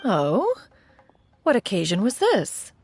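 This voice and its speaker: A proud, archaic crane spirit. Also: female voice, medium pitch, huge pitch swings, slow pace, darkish tone, slight background noise, exaggerated voice. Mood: formal. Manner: archaic, formal, refers to herself as one. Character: dignified ancient female crane spirit, proud. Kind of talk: video game voice acting.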